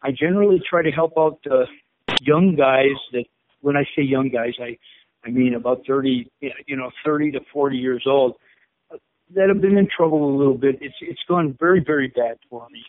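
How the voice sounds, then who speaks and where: A man's voice: medium pitch, moderate pace, a little hiss or hum. Upset stammering Chicago man on phone. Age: 50s. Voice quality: stammering, repeats words. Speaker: caller. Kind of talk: phone call. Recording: tinny phone line.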